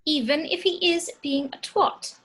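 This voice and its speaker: Woman reading exasperated sensible girlfriend type, high voice, takes her time, home table read. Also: unhurried, a bit bright. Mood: placating. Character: exasperated, level-headed young woman keeping the peace. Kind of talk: amateur table read of a film script.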